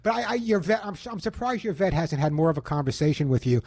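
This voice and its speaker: A man's voice: fairly high pitch, expressive, quick pace, a little mellow, dry recording. A man hosting a call-in pet radio show in a studio, giving emphatic, self-assured advice and reading ads. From Long Island, New York. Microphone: studio microphone.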